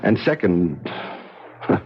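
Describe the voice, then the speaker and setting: An actor playing an intense, wryly humorous scientist in hiding, in a 1970s radio drama. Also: male voice, sits low, big pitch swings, slow pace, breathy edge, exaggerated voice. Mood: desperate, intense. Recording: muffled 1970s radio broadcast recording.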